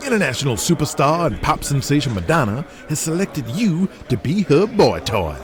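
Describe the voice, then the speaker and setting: A man's voice: on the high side, very animated, normal speed. A man playing a flamboyant, brash pop star's manager in an audio drama, with showy salesman patter. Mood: brash, hyped. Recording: busy crowd noise behind.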